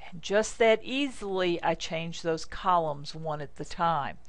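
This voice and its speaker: An American woman demonstrating software step by step, speaking informally in short, deliberate instructional phrases. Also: fairly low pitch. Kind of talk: step-by-step screencast tutorial narration.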